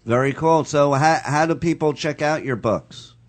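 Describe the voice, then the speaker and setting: A man's voice: on the high side, normal speed. Man hosting a loose, chatty radio show. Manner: loose and upbeat, many uh fillers. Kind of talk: casual radio talk.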